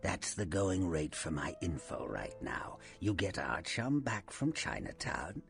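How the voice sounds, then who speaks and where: A man playing a sly old vampire, a faded film star turned informant in a video game, with a whispery rasp and a theatrical, sarcastic drawl. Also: low-pitched, sing-song, measured pace, a bit bright, soft background music, exaggerated voice. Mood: sly, confiding. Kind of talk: video game character acting.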